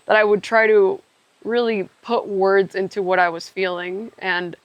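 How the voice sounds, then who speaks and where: A woman's voice: medium pitch, level tone, a little slow, dry recording, lo-fi sound. A young woman from Ecuador talking candidly and reflectively on a podcast, thinking aloud with trailing asides. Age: young adult. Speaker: podcast co-host telling their own story.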